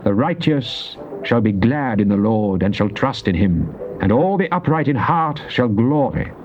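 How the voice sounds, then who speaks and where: An actor playing a devout, fervent psalmist, declaiming in a solemn, measured way in a dramatized scripture reading. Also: male voice, medium pitch, lively intonation, lightly acted. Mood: pleading, grave. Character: devout psalmist, fervent man praying to God. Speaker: scripture reader. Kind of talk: dramatized King James Bible reading. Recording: muffled old cassette with faint hiss.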